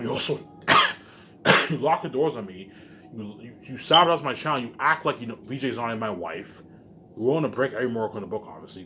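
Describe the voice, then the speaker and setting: A man's voice: medium pitch, bright, forceful tone, a little hiss or hum. A man in an agitated, confrontational unscripted rant, repeating himself and swearing often. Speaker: online video maker.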